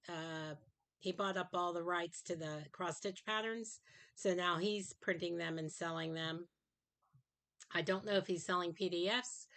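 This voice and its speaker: A middle-aged woman talks through her craft projects in a loose, rambling way, filling pauses with ums and uhs. Her voice sits a little low, and it sounds dry and slightly worn from a recent sinus infection. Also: a bit bright. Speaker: craft vlogger. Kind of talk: casual show-and-tell chat. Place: home craft room.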